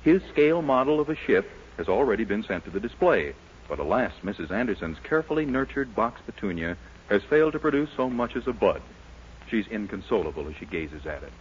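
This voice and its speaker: A man's voice: on the low side, very animated, a little fast. A man narrating a 1940s radio sitcom as announcer, in a polished, mock-dramatic manner. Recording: muffled, hissy old radio recording.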